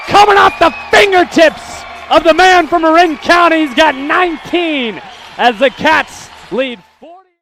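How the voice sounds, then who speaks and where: High-pitched male play-by-play announcer over crowd noise. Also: a little slow. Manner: excited shouts on big plays, rattles off stats. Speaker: play-by-play commentator. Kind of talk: live basketball play-by-play commentary. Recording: live gym crowd and court noise. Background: crowd noise and bouncing basketballs.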